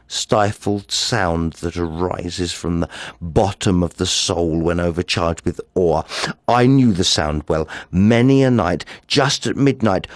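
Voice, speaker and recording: A man's voice: low-pitched, dry recording. A man playing a nervous, obsessive madman confessing a murder, in a theatrical gothic horror monologue. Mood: brooding dread. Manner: theatrical, slow, swinging from hushed to agitated.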